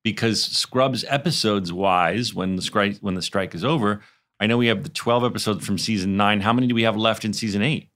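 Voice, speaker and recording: A man's voice: on the low side, fairly quick, a bit bright. A man with an American accent co-hosting a podcast in a casual, conversational style. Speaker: podcast co-host. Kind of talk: casual chat.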